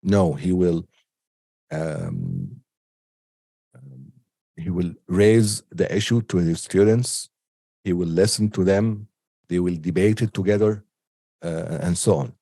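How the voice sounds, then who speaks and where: A man giving an unscripted online lecture with a cold, halting often with ums and restarts. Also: deep voice, lively intonation, gravelly, warm tone, dry recording. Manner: hesitant, many ums and restarts. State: has a cold. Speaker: teacher.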